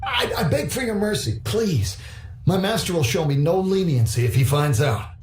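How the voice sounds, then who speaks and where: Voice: mid-range voice, expressive, normal speed, darkish tone, reverberant, a little hiss or hum, lightly acted. An actor doing a stammering, nervous guard. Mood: panicked. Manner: stammering, pleading. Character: nervous, groveling male mansion guard.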